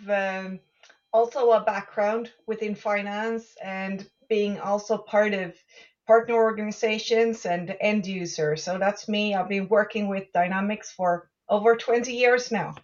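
A woman's voice: medium pitch, fairly even intonation, measured pace, far from the mic, lo-fi sound. A middle-aged woman from Sweden, telling a story in an easygoing way in a casual interview.

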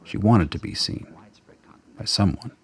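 Very deep-voiced male narrator, slightly gravelly. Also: lively intonation, measured pace. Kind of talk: scripted dramatic narration.

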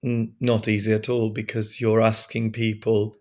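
Hoarse, halting man, a podcast guest. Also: on the low side, completely flat delivery, a little mellow. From Eastern Europe. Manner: hesitant, many restarts and fillers. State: hoarse, recovering from lost voice. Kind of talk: casual conversation.

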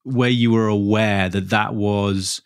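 A man's voice: lowish voice, steady tone, takes it slowly, smooth, no room sound, clean studio sound. A man hosting a podcast, asking curious, encouraging questions of his guest. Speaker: podcast host. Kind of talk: interviewing.

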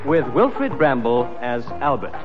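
A radio announcer introducing a 1960s comedy series in a formal, crisp manner. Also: male voice, medium pitch, sing-song, unhurried. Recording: dull archival radio broadcast with loud theme music.

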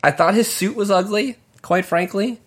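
A man's voice: sits high, moderate pace, a bit bright, slightly rough. A man co-hosting a casual podcast chat, blunt and opinionated, gossiping about reality TV contestants. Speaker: podcast co-host.

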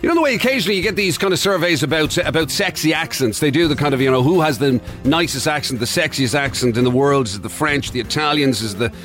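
A man's voice: on the high side, quick pace, few pauses, a bit bright, faint music behind. A man with an Irish accent co-hosting morning radio, teasing his partner in a dry way and reading out station details. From Ireland. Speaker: radio co-host. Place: radio studio. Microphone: studio mic.

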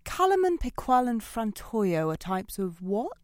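A woman's voice: fairly high pitch, very animated, measured pace, no room sound, clean studio sound. An actress playing a composed, probing woman who quizzes and judges her date with pointed questions in a naturalistic audio drama.

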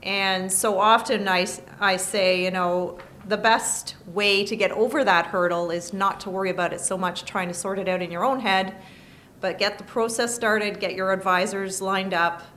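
A woman's voice: medium pitch, steady tone, moderate pace, a bit bright, a little hiss or hum. A woman, a lawyer guest in an interview, explaining patiently and listing points one by one. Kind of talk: interview answers. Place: studio table.

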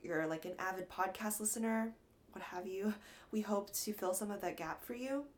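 A woman's voice: mid-range voice, normal speed. A young woman from Atlanta co-hosts a casual college radio podcast. She sounds upbeat and laughs often, moving between loose chat and lines she has prepared. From Atlanta, Georgia. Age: young adult. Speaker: podcast co-host.

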